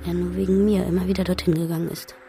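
An actress playing a sombre, haunted daughter in a German radio drama, speaking in a hushed, brooding manner. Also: female voice, fairly low pitch, level tone, fast talker, faint music behind, lightly acted. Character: sombre, haunted daughter recalling her father.

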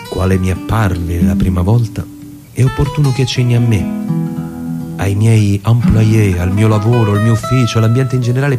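Man playing an elderly prudent lawyer, low pitch, measured formal monologue, deliberate pauses, prominent guitar music behind, radio drama. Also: close to an everyday voice. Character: elderly, prudent, unambitious lawyer recounting his memories. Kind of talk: literary dramatic monologue reading over music. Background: plucked guitar music.

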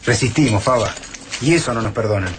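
An actor playing a wary, defiant invasion survivor in a radio drama. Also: male voice, medium pitch, fast talker, noisy background, lightly acted. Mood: bitter.

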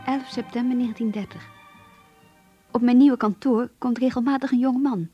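Actress as high-voiced curious young secretary, muffled recording. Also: female voice, steady tone, moderate pace, faint music behind, close to an everyday voice. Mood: eager, confiding. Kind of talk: Dutch radio play acting, diary narration. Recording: dull, muffled old radio recording.

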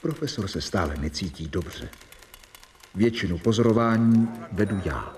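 An actor in a radio play, playing a young doctor who narrates his medical journal in measured, reporting tones. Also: sits low, expressive, darkish tone, recorded from a distance. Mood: earnest. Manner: measured, reporting dates and symptoms.

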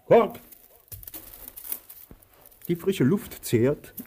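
A pompous master of a radio play, domineering and grand. His voice sits fairly high and leaps widely, swinging between barked commands and affected courtesy. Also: expressive, drawn-out words, mellow, slightly rough, exaggerated voice. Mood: pompous, courteous. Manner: grandiose, barking orders, then affectedly courteous. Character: pompous, domineering master with whip and servant, male. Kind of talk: theatrical German radio play acting.

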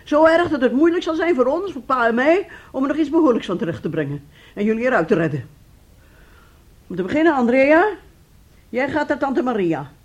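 A woman's voice: high voice, lively intonation, brisk, muffled recording. A woman acting a stern, middle-aged mother in a radio play, scolding and commanding. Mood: fed up, stern. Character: stern, exasperated mother laying down the law.

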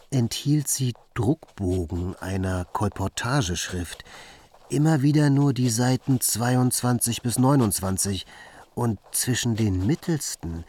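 A man's voice: medium pitch, a little mellow, slightly rough. An actor playing a wry young bohemian man who recounts his wanderings in a musing literary radio monologue.

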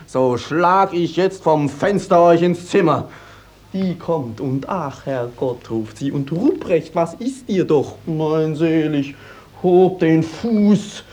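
A man's voice: fairly high pitch, a little mellow. An actor playing a hot-headed, plain-spoken young peasant who tells his story emphatically in a classical radio play. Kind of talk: 1950s German classical radio play acting.

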